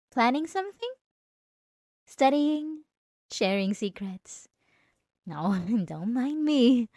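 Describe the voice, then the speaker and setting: A woman's voice: on the high side, darkish tone, no room sound, clean studio sound, heavily acted. A woman acting out a possessive, jealous schoolgirl bully in a roleplay audio drama, taunting and sarcastic with sugary false politeness. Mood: falsely friendly, prying.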